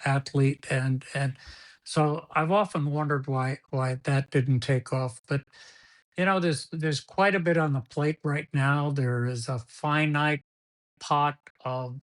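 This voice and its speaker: A man's voice: a little high, fairly even intonation, slow pace. An older man whose first language is Spanish, telling rambling stories as a podcast guest, often repeating words and laughing as he goes. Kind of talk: storytelling interview.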